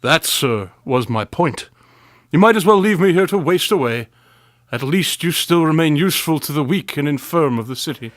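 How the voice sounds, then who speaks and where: An actor playing a pompous, melodramatic, tipsy detective in a radio drama, wordy and theatrical. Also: male voice, on the high side, expressive, moderate pace, dry recording, studio quality, lightly acted. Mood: melodramatic despair. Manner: theatrical, wordy, self-important.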